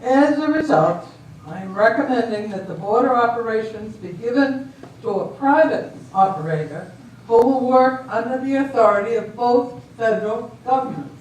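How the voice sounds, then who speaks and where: A woman in an amateur stage reading, playing a composed, decisive government official with a measured, formal delivery. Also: on the high side, animated, darkish tone, lightly acted. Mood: explanatory, assured. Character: composed, decisive female official judging a border dispute. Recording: echoey hall, distant mic, faint noise.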